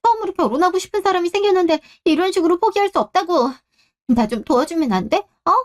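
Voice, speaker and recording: A woman's voice: extremely high pitch, animated, quick pace, voice pushed up. A woman voicing a selfish young bride-to-be in a radio drama, petulant and entitled, with a whining, pleading manner. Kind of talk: Korean radio-drama story acting.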